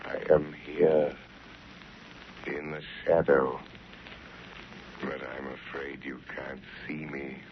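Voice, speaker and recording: An actor playing a calm, commanding male crime fighter in 1930s radio drama style. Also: very deep voice, slow pace, pauses often, full character voice. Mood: menacing. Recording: muffled, noisy 1930s radio recording.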